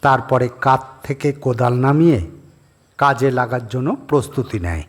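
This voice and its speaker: A man narrating a scripted horror story as its reader, measured, pausing between short phrases. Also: mid-range voice.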